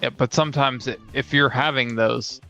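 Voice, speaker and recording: A man's voice: mid-range voice, fairly even intonation, normal speed, gravelly, bright, forceful tone, smooth, dull audio, faint music behind. A man co-hosting a casual chat about tabletop role-playing, talking as a player in an informal way. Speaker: podcast co-host and tabletop player.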